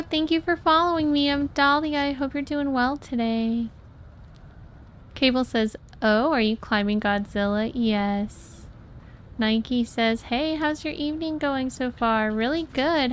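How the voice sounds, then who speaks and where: A woman's voice: sits high, fairly bright tone, a little hiss or hum. An American woman from Florida giving casual live gameplay commentary, chatting with viewers as a streamer. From Florida, USA. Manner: chatty, greets and thanks viewers. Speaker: live game streamer and host.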